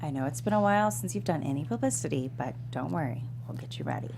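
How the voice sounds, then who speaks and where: A woman as bossy young female intern, low voice, fast and commanding, comedy table read, noticeable hum. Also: lively intonation. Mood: pushy. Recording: humming, clearly noisy room.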